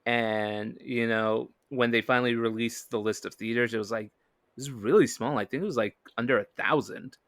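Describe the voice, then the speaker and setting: A man's voice: mid-range voice, steady tone, normal speed, fairly bright tone, no echo. A man chatting casually about films as a podcast co-host. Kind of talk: casual film discussion.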